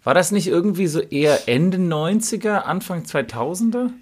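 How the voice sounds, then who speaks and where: A middle-aged podcast co-host in casual chat, amused and quick to laugh. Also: mid-range voice, lively intonation, slightly rough.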